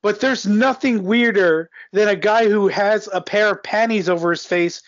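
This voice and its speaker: Male guest co-host, high voice, post-cold, joking rambler, dry lo-fi audio. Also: normal speed, no room sound. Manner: rambling, joking, many fillers. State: recovering from a cold. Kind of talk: casual chat.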